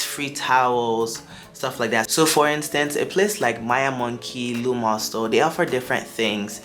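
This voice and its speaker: Young man, Nigerian accent slipping toward American, chatty vlogger, mid-pitched voice, faint music behind. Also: fairly bright tone. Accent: Nigerian, shifting toward American. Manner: chatty and upbeat, with asides and restarts. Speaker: travel vlogger. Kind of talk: casual advice to camera.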